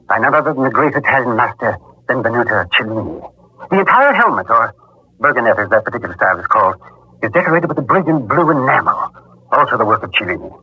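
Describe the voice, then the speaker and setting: An actor playing a cagey, cultivated art importer in 1940s radio drama, speaking in an urbane, precise, condescending way. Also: male voice, mid-range voice, breathy edge. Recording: dull vintage 1940s radio recording.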